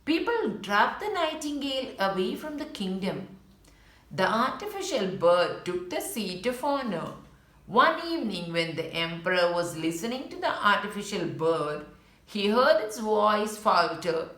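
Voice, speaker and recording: A woman's voice: mid-range voice, big pitch swings, measured pace, a bit bright. A woman reading a children's picture book aloud as a storyteller, adding short asides about the pictures. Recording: roomy, echoing indoor sound.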